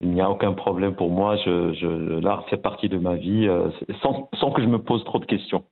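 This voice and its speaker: A man in his fifties with a very low voice speaks haltingly, full of fillers and restarts. He sounds like he is on a thin, tinny phone line, as a guest chatting in an interview. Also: vocal fry. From Morocco. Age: 50s. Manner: hesitant, many fillers and restarts. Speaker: guest, pharmacist and visual artist. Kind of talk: casual interview talk.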